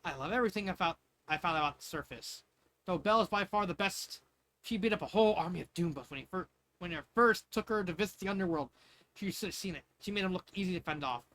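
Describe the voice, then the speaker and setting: Man voicing young storybook girl, excitable, affectionate, gushing, bright tone. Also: sits high, fast talker, slight background noise. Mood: beaming.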